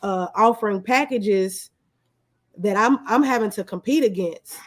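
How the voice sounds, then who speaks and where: A woman's voice: mid-range voice, unhurried. A woman speaking as an interview guest, a small business owner talking casually and candidly through personal anecdotes.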